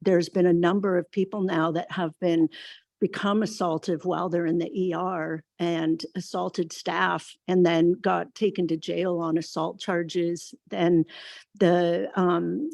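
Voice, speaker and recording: A woman health worker giving a measured public comment at a county meeting, pausing often with fillers. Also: on the low side, vocal fry, no room sound, studio quality. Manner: measured, steady, with many fillers. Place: meeting room.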